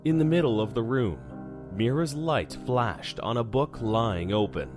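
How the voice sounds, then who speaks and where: A man's voice: mid-range voice, lively intonation, measured pace, music in the background. A man narrating a scripted horror drama as its storyteller.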